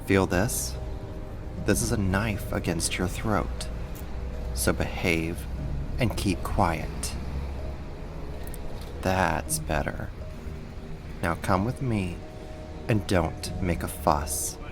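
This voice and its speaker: A man's voice: sits low, over music, exaggerated voice. A man playing a sadistic, mocking villain and kidnapper in an ASMR roleplay, with a controlling, condescending manner. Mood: cold menace. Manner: slow, taunting, controlling, condescending.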